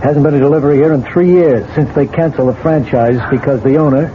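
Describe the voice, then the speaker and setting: A patient police lieutenant, played by a man talks a witness through the facts in a calm, coaxing way. The sound is tinny, with hiss behind it, like an old radio broadcast. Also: fairly high pitch, fast, close to an everyday voice. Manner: calm, coaxing, matter-of-fact. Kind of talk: 1970s radio drama acting.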